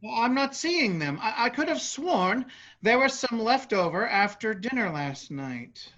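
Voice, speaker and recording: A woman playing a genial travelling troupe's cook in a staged play reading, chatty and animated. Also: a little high, unhurried, muffled recording, heavily acted. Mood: puzzled. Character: genial troupe cook handing out the midday meal.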